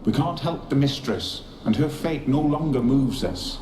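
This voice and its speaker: An eerie, world-weary male spirit servant voiced by a man in a fantasy video game. He is solemn, formal and theatrical, with a medium-pitched voice. The sound is heavily echoed with clear noise behind. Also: a little slow, warm tone, close to an everyday voice. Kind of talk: video game dramatic acting. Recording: roomy echo, noisy game ambience.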